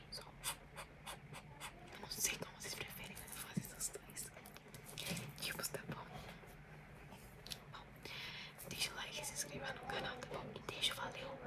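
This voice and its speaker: A woman's voice: sits high, recorded from a distance. Whispering young Brazilian woman. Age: young adult. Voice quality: breathy, unvoiced whisper. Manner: intimate, close to the mic. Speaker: ASMR video creator. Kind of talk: whispered ASMR, addressing viewers. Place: small indoor room at a desk. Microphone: desktop microphone at close range.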